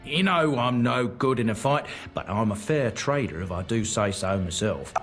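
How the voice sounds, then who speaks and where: A man's voice: medium pitch, animated, moderate pace, a bit bright, faint music behind, natural voice. A man voicing a modest, practical dwarf merchant in a fantasy game, plain-spoken and earnest. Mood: friendly.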